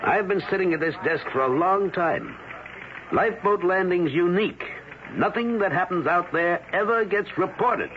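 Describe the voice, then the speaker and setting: A weary older editor who brushes off and warns his reporter in a vintage radio drama. His voice sits a little high and moves around a lot. The sound is thin and phone-like, with clear hiss. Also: animated, normal speed. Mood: warning. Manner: gruff, dismissive warnings. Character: weary older male newspaper editor, cautious. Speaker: newspaper editor. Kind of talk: 1970s radio drama acting. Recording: tinny, hissy old radio recording.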